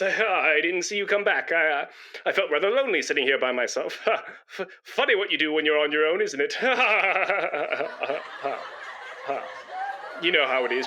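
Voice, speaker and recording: An actor playing a pompous, nervous British chatterbox in a comic radio play, rambling and stammering when flustered. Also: male voice, on the high side, noisy background. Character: pompous, nervous, chattering British man come to give blood. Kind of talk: comic radio play acting before an audience.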